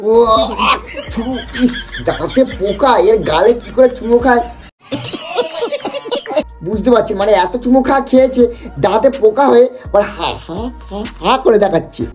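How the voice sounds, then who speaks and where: A person hosting a comic roast in a mocking, exaggerated, excitable way. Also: medium pitch, moderate pace, long pauses. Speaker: prank video host and roaster. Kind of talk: teasing comic roast commentary. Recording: music behind the voice. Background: music.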